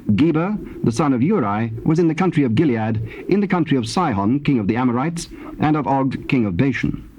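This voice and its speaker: A man's voice: medium pitch, lively intonation, fairly quick, darkish tone. A man narrating dramatized scripture in a stately, declamatory manner. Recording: faintly noisy old cassette tape.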